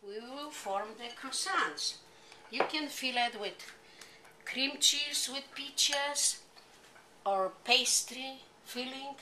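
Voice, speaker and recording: An older woman with a Polish accent hosts an informal home cooking demonstration, speaking in simple, halting non-native English phrases. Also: high-pitched. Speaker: home cooking show host. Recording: noisy amateur home video.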